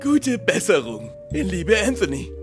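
A man's voice: high-pitched, huge pitch swings, unhurried, over music, heavily acted. A man voicing a goofy, easily touched young best friend in a comedic fan dub, gushing and melodramatic. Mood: cheerfully sincere.

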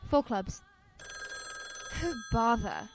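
A woman's voice: a little high, huge pitch swings, very slow, gravelly, noisy background, heavily acted. A woman acting an anxious, polite society wife in a radio play. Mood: polite, weary.

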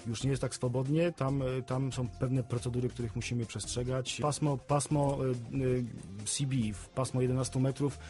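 A man with a soft, mellow voice is a guest expert on a radio interview. He explains in a mid-pitched voice and often doubles back on his words. Faint music plays behind him. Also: flat delivery, moderate pace, few pauses. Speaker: CB radio specialist, studio guest. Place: radio studio.

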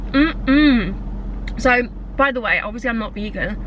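A woman's voice: on the high side, expressive, a little slow, dull audio, background noise. A young woman from England, vlogging in a chatty, gushing way to a camera held at arm's length, sounding nasal from a blocked nose. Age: young adult. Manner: chatty and gushing, talks while eating. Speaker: lifestyle vlogger. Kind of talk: casual chat to camera. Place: parked car, then a home kitchen. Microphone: camera mic at arm's length.

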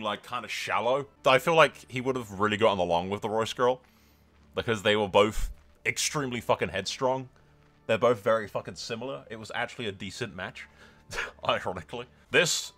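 A man's voice: fairly low pitch, bright, forceful tone. A man giving casual, sweary let's play commentary, telling the story in mock-grand announcer phrases and repeating himself for emphasis. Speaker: gaming video creator.

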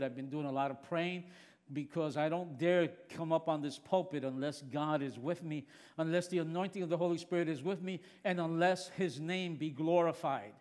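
An earnest middle-aged male preacher of Puerto Rican heritage, excitable and quick to restart his sentences. His voice sits high for a man. Long reverb from the large church hall trails behind him. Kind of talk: sermon.

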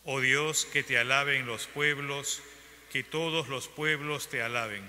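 A man reading scripture aloud at Mass as lector, in a measured, solemn way. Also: medium pitch, fairly even intonation, bright, forceful tone, slight background noise. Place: large church. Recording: church broadcast with long reverb.

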